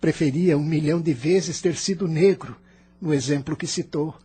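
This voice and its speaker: A man's voice: on the high side, normal speed, mellow, slightly rough, soft background music. An actor with a Brazilian accent playing an embittered, stubborn immigrant man who argues with emphatic self-pity in an audio novel. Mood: resentful arguing. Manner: argumentative, self-pitying, emphatic. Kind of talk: dramatized audio novel dialogue.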